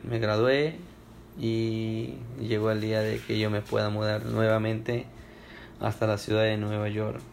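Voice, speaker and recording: A man's voice: fairly low pitch, level tone, measured pace, creaky, slight background noise. A young man from Ecuador answering oral history questions at home, hesitant with many fillers and restarts. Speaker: interviewee.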